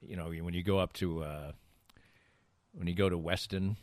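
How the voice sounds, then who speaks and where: Low-voiced man hosting a podcast. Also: fairly even intonation, normal speed, dry recording. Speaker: podcast host. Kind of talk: casual banter and sponsor interview reads.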